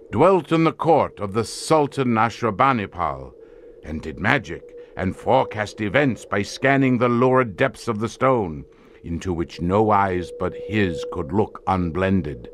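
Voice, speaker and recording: A man's voice: lowish voice, expressive, measured pace, a little hiss or hum. An actor playing a grave desert tribesman who solemnly tells of an ancient curse in archaic, portentous language for an audio drama. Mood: grave, foreboding. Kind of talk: theatrical audio drama storytelling.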